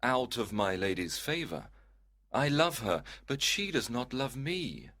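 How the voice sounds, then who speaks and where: A man's voice: mid-range voice, big pitch swings, a little slow, fairly bright tone. A man playing a melancholy, lovesick young nobleman in a storybook, with a mournful, dramatic delivery.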